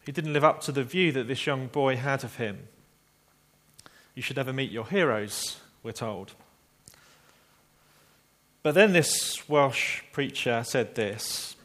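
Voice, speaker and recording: A man preaching a sermon, telling a story earnestly and slowing down quietly for emphasis. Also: medium pitch.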